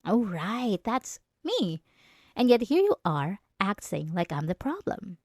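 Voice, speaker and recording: A woman's voice: medium pitch, big pitch swings, a little slow, darkish tone, no room sound. Girl voice actor doing a jealous yandere school bully, smug n sarcastic, talking right at you, super dry sound. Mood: controlling, menacing. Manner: smug, taunting, sarcastic, dramatic emphasis. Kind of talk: scripted ASMR roleplay spoken to the listener.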